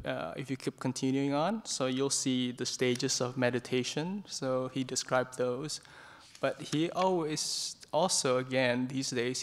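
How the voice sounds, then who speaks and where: A man's voice: medium pitch, vocal fry. A middle-aged man from Indonesia, a Buddhist monk, leading an informal book discussion with many fillers and restarts, speaking into a stand microphone in a meditation hall. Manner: hesitant, many fillers and restarts, gentle and soft-spoken. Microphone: stand microphone in front of his chair.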